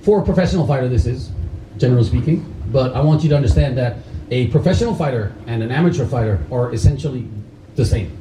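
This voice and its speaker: A man's voice: medium pitch, lively intonation, fast, mellow, slight background noise. A man raised in Denmark, a karate instructor talking loosely to a group, full of fillers and restarts. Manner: loose, rambling delivery with frequent fillers and restarts. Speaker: karate instructor speaking at a camp. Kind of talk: talk to a seated group. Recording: roomy hall sound from a distance.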